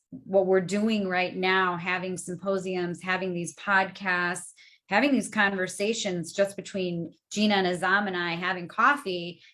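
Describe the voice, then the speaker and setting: Woman, low voice, casual, reflective, panel discussion. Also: a bit bright. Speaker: committee member.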